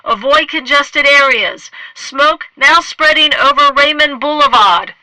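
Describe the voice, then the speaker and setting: A woman's voice: high voice, unhurried, sharp tone, no echo, exaggerated voice, rough recording. A woman playing an emergency radio operator in a radio drama, announcing in a clipped, urgent way. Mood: urgent, official. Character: brisk emergency radio operator issuing warnings.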